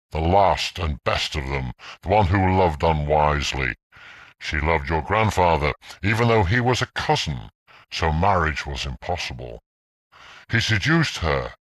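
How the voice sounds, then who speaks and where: A vengeful ghost voiced by a man speaks in a husky, menacing voice for a gothic audio play. The delivery is theatrical and taunting, swinging widely in pitch, and sounds close and dry. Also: sing-song, fairly bright tone, no room sound, full character voice. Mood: bitter accusation. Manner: slow, menacing, declamatory. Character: vengeful male ghost, theatrical, coldly taunting his cousin.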